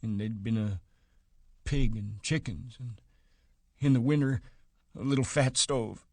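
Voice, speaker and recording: Old ranch hand, bitter and trembling, read by a male narrator, pitched up, close dry audiobook read. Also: a little slow, darkish tone, dry recording. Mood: grieving. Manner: bitter, shaky, sing-song.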